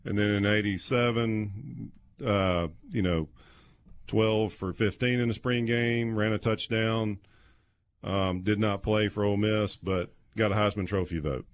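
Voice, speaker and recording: A man, telling stories casually and haltingly as a guest on sports radio. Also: on the low side, steady tone. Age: 50s. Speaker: guest, former college quarterback. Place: small padded radio studio. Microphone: close studio microphone with headset.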